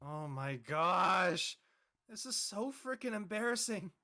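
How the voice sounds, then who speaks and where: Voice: medium pitch, sing-song, slow pace, sharp tone, full character voice. An actor voicing an embarrassed, exasperated teenage boy in a comedy drama, whiny and indignant. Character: embarrassed, exasperated teenage boy who became a viral meme. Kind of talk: comedic scripted audio drama dialogue.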